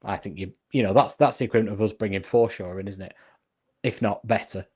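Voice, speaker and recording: A man's voice: lowish voice, fast talker, darkish tone, husky. Man, football podcast co-host, casual chat, earnest, restarts often. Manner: earnest, reasoning aloud with restarts.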